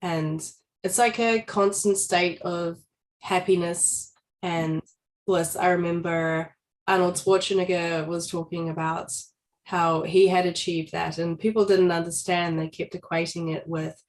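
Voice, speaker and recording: A woman's voice: lowish voice, fairly even intonation, a little slow, slight background noise. A woman co-hosting an interview, talking casually and thoughtfully, sometimes searching for the right words. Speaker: co-host. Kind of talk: casual conversational chat.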